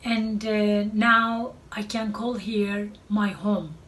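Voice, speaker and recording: Slow, halting woman with a Turkish accent. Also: medium pitch, steady tone, fairly bright tone. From Turkey. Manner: slow, halting, searching for words. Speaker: artist. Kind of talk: artist's presentation to camera. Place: cluttered art studio. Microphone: far camera. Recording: distant mic, faint room noise.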